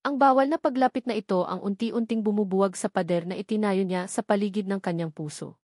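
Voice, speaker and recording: A woman's voice: medium pitch, fairly quick, darkish tone, no echo, studio quality. A woman giving scripted, dramatic narration of a story as its narrator.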